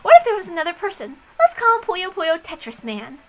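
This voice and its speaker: A woman's voice: very high voice, wildly swooping pitch, fairly quick, a little mellow, voice pushed up, full character voice. A woman voicing a smug, scheming young female detective in a visual novel, taunting and confident.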